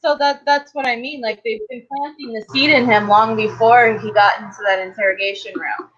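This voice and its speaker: Woman on a panel chat, kinda high voice, explaining stuff tentatively, muffled lofi call sound. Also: steady tone, fairly quick, a bit bright. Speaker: talk show panelist. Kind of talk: casual discussion.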